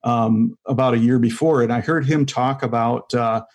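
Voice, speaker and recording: A man's voice: mid-range voice, steady tone, moderate pace. A man in his forties, a stand-up comedian guest, chatting casually in an interview with many fillers and restarts. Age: 40s.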